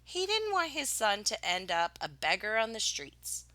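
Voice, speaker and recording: Bright, animated woman reading a storybook aloud. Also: medium pitch, measured pace. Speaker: teacher reading a picture book aloud. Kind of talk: expressive children's read-aloud of a picture book.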